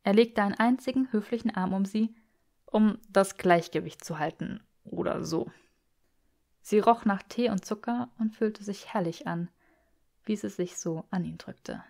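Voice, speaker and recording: A woman reads a story as an audiobook narrator, in an expressive scripted delivery. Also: medium pitch, a little slow, creaky.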